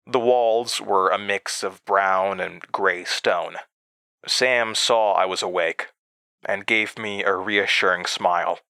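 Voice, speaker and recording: A haunted man narrating a horror audio drama. He speaks slowly in a lowish, tense voice, grave and deliberate, with dramatic pauses. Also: pushed and bright, no echo, full character voice. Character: haunted man recalling his horrifying past. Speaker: narrator and protagonist.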